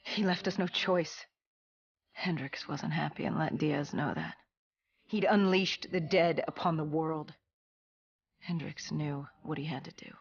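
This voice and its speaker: An actor playing a grim, battle-worn soldier in a video game, recounting an apocalypse in a grave, halting, deliberate way. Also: medium pitch, creaky, breathy edge, dull audio. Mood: grave, tense. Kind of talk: dramatic cinematic narration.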